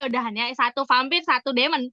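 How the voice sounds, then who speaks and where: A woman's voice: high voice, brisk, pushed and bright, no room sound. An Indonesian woman chatting casually as a guest on a podcast. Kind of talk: casual chat. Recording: slightly dull, dry close sound.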